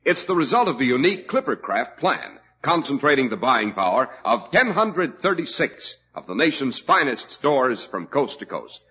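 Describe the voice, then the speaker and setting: A man's voice: mid-range voice, big pitch swings, moderate pace, hardly any pauses, pushed and bright, slightly rough. A male radio announcer reading a 1940s commercial in an emphatic, salesy way. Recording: old lo-fi radio broadcast.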